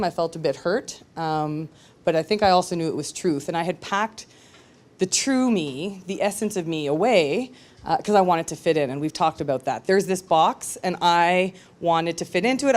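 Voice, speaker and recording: A woman's voice: low voice, a little mellow. Candid woman telling her story on stage. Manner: candid, reflective, with frequent hesitations. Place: live storytelling event with audience.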